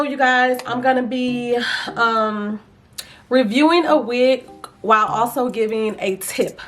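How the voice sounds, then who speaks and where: Chatty, raspy, high-pitched woman vlogger. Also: fairly bright tone. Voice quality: slightly raspy, froggy. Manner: chatty, informal, playful asides. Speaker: vlogger reviewing wigs. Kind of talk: casual chat to viewers, beauty review.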